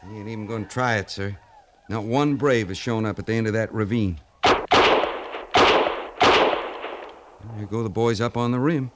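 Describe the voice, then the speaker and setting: An actor playing a loyal veteran American cavalry sergeant, plain-spoken and respectful, in a 1950s radio western. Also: male voice, fairly low pitch, a little fast, close to an everyday voice. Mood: dry, alert. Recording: noisy 1950s radio broadcast recording.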